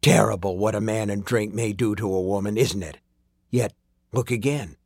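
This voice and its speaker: Man playing a sly, low-murmuring sinister sorcerer. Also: vocal fry, darkish tone, slightly rough, dry recording, clean studio sound. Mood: mocking, sly. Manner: archaic, teasing, drawn-out murmuring that drops into whispers. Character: sinister sorcerer man, mockingly courteous, slyly gleeful at suffering.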